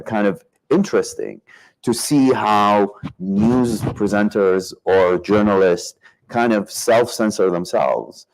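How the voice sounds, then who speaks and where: Low-voiced reflective man from Iraq. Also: a little mellow, poor audio. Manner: searching, reflective, circles back to rephrase. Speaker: panelist. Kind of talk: panel discussion.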